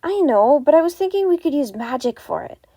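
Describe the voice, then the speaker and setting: A woman acts out a friendly young female wizard for a fantasy podfic. Her voice is highish and soft-edged rather than bright, close and dry. She talks in a chatty, earnest, slightly sheepish way. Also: sing-song, a little fast, dry recording. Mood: hopeful, sheepish. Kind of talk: amateur podfic character reading.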